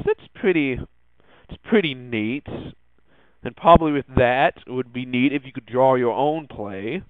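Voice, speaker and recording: A man hosting a podcast with casual tech news commentary, speaking haltingly and often restarting his words. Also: medium pitch, smooth, no room sound. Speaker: podcast host. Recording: thin phone-like lo-fi audio.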